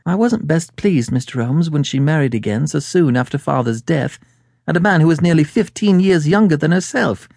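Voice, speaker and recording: Male narrator as young Englishwoman, storybook client, high lifted pitch, animated swings, nervous, gushing, excitable, chatty. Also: moderate pace, vocal fry, dry recording, clean studio sound.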